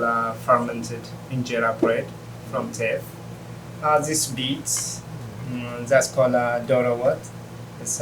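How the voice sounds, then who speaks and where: A chef and restaurant owner introducing dishes at a shared meal, speaking haltingly with frequent pauses and "uh"s. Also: male voice, mid-range voice, distant mic, background noise. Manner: warm, halting, with many uhs.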